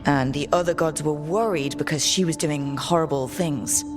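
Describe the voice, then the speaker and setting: A woman's voice: low voice, moderate pace, faint music behind, close to an everyday voice. Woman as a wry fantasy investigator. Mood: conversational. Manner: wry, conversational. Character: wry, quick-witted investigator companion in a fantasy party. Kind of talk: naturalistic game dialogue.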